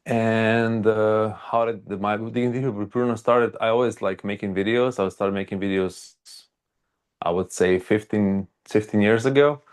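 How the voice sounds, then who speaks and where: A man from Croatia with a Croatian accent, telling his story haltingly and casually as an interview guest, with many hesitations. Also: fairly low pitch, fairly even intonation, clear, resonant tone. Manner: halting, frequent hesitations and filler words. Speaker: archaeologist and video creator, interview guest.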